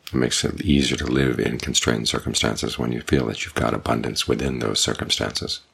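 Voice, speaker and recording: A man, a Buddhist monk, giving a measured, deliberate dharma talk. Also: rumbling low, steady tone, creaky, a bit bright, husky.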